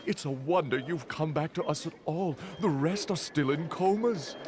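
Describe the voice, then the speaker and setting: An actor playing an astonished hospital doctor in a video game, excited and amazed. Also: male voice, fairly high pitch, moderate pace, crowd noise, close to an everyday voice.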